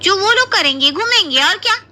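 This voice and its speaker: Cartoon kid sister-in-law, woman acting, high and piercingly bright, childish, loud, eager and whiny. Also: expressive, fairly quick, full character voice. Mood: cheeky. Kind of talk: Hindi cartoon family story acting.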